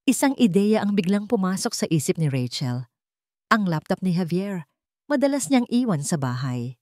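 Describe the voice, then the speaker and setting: A woman's voice: mid-range voice, very animated, normal speed, darkish tone, no echo, clean studio sound. A woman with a Filipino accent reading a scripted romance drama as a story narrator, delivering it as dramatic narration.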